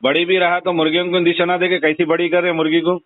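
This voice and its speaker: A man's voice: high-pitched, flat delivery, racing, no room sound. Insistent Hyderabadi man on a tinny phone. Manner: insistent, blunt. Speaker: phone call recipient. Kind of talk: casual phone conversation. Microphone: telephone. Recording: tinny narrowband phone call recording.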